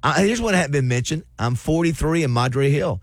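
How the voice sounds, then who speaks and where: A man's voice: on the high side, lively intonation, a little fast, breathy edge, no room sound, studio quality. A man hosting a casual sports talk show, reading out listener messages and chatting with co-hosts. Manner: chatty, restarts, reads out listener texts. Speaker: sports radio host.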